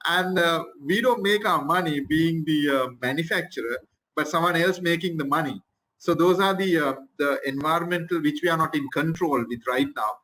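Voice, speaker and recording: Man from Sri Lanka, measured manufacturing panelist, slightly raised pitch. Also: sharp tone, slight background noise, low-quality recording. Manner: measured, with fillers. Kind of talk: panel discussion answer.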